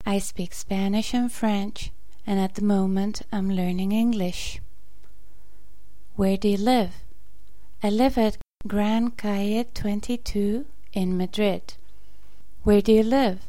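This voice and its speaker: A woman reads out simple English practice dialogues in a slow, careful way, saying every line twice for learners. Her voice is mellow and soft-edged. The recording is dry and close, with no room sound. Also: mid-range voice, fairly even intonation, dry recording. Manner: slow, carefully spoken repetitions. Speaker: English teacher. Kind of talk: reading dialogue drills.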